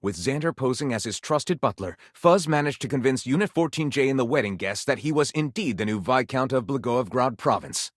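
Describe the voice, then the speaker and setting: A man's voice: mid-range voice, no echo, lightly acted. Guy doing a theatrical pulp detective narrator for a game, tongue in cheek, super swoopy dramatic delivery, very dry sound. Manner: melodramatic, arch, tongue-in-cheek. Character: theatrical storyteller narrating pulpy detective adventures with mock gravity. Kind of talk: dramatic scripted story narration.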